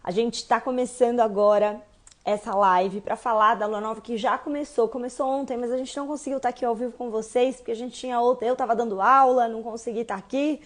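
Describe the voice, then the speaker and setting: A woman with a slightly high voice, hoarse from losing it. She hosts a casual livestream and talks her viewers through each point in a patient teaching manner. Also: fairly even intonation, brisk. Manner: warm teaching, explains step by step. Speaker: host of a kabbalah livestream.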